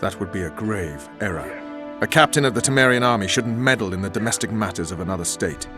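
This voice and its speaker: Man playing a low-voiced, cynical army captain. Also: expressive, fast, lightly acted. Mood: firm. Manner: blunt, sardonic, menacing. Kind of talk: video game voice acting. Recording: game music behind the voice.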